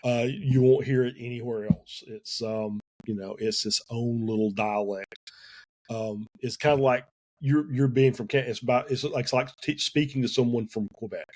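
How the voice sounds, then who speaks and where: A man with a Southern US accent chats as a guest over a call. He speaks in loose, halting phrases, full of fillers and restarts. His voice sits in an ordinary middle register. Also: mid-range voice, darkish tone. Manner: halting, many fillers and restarts, chuckles often. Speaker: guest, sim racing team co-owner. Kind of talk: casual podcast interview.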